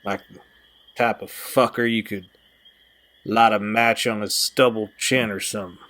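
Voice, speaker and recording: A man's voice: on the low side, fairly even intonation, measured pace, fairly bright tone. Goofy young guy with a playful streak, acted by a man. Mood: tipsy, playful. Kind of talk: audio drama acting.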